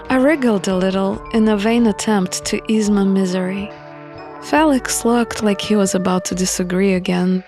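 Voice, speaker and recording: A woman reading a visual novel aloud and commenting on it as she plays, in a deliberate manner. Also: mid-range voice, darkish tone. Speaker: game playthrough narrator. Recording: music under the voice.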